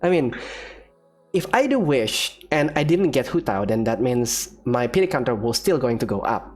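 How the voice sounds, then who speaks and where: A male gamer gives casual commentary on his play in an expressive way, often saying things twice. His pitch moves around a lot, and faint music plays behind him. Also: normal speed. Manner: expressive, repeats phrases. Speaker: gaming video creator.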